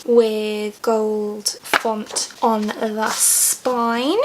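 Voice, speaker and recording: Brief: young woman, book vlogger, bright upbeat higher voice, faint background hiss, small room. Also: poor audio. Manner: chatty, enthusiastic, reads blurbs aloud. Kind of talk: casual unboxing chat. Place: small room in front of bookshelves.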